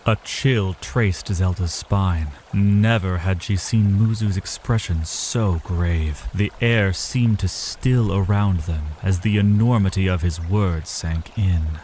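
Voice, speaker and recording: A man's voice: deep voice, slow pace, few pauses, darkish tone, a little hiss or hum. A man narrating a fantasy audio drama from a script in a solemn, literary storytelling manner.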